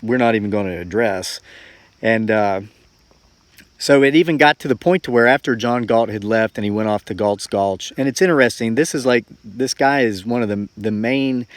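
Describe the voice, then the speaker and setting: A man's voice: medium pitch, dry recording. A man in his forties talking casually and reflectively to viewers as a homesteading vlogger, with a folksy, earnest, rambling way of telling things. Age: forty-four.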